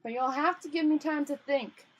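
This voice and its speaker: A woman's voice: high voice, moderate pace, pitched above the performer's own voice, lightly acted, poor audio. A woman voicing an irritable, hopeless male failed wizard in a grumbling, fretful storybook read-aloud. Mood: irritated.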